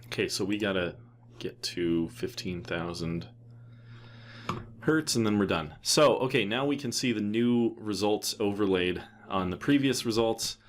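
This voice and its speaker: A man presenting a casual, unscripted software tutorial in an offhand way, thinking aloud as he goes. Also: fairly low pitch, a little slow.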